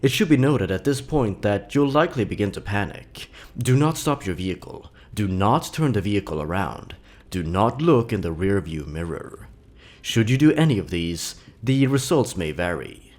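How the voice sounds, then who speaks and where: A man hosting and narrating horror stories in a scripted, deliberate and dramatic storytelling delivery. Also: fairly low pitch, big pitch swings, a little mellow.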